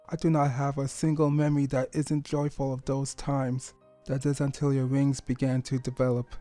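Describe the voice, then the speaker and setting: A man voicing a gentle, loving middle-aged father pony in an audio story, speaking in an earnest, tender, measured way. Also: medium pitch, no room sound, lightly acted. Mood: fond, wistful.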